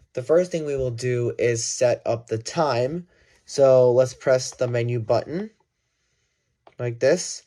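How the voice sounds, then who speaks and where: A man's voice: mid-range voice, a little mellow. A man giving a casual hands-on gadget demonstration, thinking aloud and correcting himself as he goes. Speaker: product reviewer.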